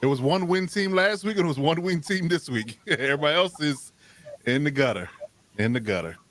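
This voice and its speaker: A man with a slightly higher voice than most chats on a sports podcast over a video call, joking and repeating his own phrases for effect. As a guest from another podcast, he keeps his tone loose and casual. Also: a little fast, a bit bright.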